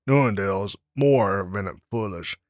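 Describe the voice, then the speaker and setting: A man doing a let's play, reading game dialogue aloud somewhat haltingly. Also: on the low side, no echo. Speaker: gamer reading the player character's lines.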